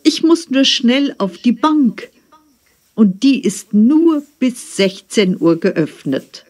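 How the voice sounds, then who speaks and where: A woman playing a hurried driver who pleads and coaxes in a scripted exam dialogue. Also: a little high, big pitch swings, a little mellow, exaggerated voice. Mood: pleading. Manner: pleading, coaxing.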